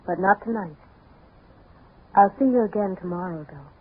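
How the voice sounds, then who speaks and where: An actress playing a calm, secretive femme fatale in a 1950s radio drama, measured and enigmatic. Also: female voice, medium pitch, slightly rough. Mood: cool, secretive. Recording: tinny 1950s radio recording.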